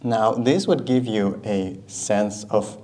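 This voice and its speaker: Young man, Russian native speaker, lowish voice, conversational guest lecturer who engages the room with questions. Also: slow pace, darkish tone. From Ukraine. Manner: conversational, asks the audience questions, frequent "right?". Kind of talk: university talk with slides.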